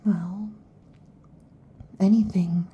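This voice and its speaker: A woman playing a restless, possessive lamia girlfriend in an intimate ASMR roleplay, with a breathy, husky half-whisper and halting, teasing delivery. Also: medium pitch, steady tone, dull audio, heavily acted. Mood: agitated, pleading. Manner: halting, teasing, predatory intensity. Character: restless, possessive female lamia monster girlfriend next door.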